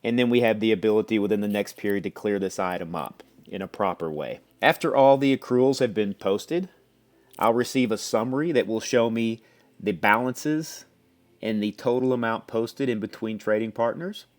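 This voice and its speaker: A man presenting a software demo, explaining step by step in a conversational way. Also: lowish voice, moderate pace. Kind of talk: explanatory product demo walkthrough.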